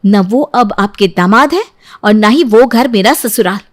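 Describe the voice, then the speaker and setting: A woman's voice: mid-range voice, animated, unhurried. A woman voicing a hurt, stubborn young wife in a story, resolute and aggrieved. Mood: hurt, defiant.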